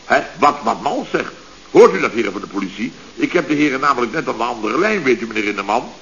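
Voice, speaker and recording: An actor playing a flustered middle-aged contractor boss in a radio comedy, stammering, exclaiming and turning pompously polite. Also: male voice, medium pitch, big pitch swings, quick pace, fairly bright tone. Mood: flustered politeness. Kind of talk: Dutch radio comedy acting. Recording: dull, noisy old radio recording.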